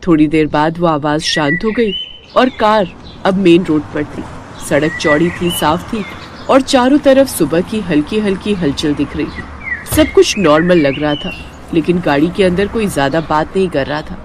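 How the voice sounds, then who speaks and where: Expressive woman storyteller, lively pitch. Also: moderate pace, slight background noise, rough recording. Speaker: storyteller narrator. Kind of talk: scripted story narration.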